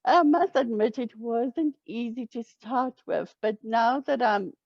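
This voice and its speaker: A woman's voice: on the high side, vocal fry, a little mellow, dry recording. Woman, South African accent, Afrikaans native speaker, hesitant with fillers, dry lo-fi sound. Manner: hesitant, many pauses and fillers. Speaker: romance author guest. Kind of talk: casual interview. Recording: dull lo-fi audio.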